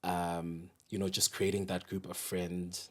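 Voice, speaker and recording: A man's voice: low voice, little pitch movement, measured pace, a little mellow. A man with a South African accent talking reflectively as a guest in a casual podcast interview. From Johannesburg, South Africa. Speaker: guest, DJ and ballroom event curator.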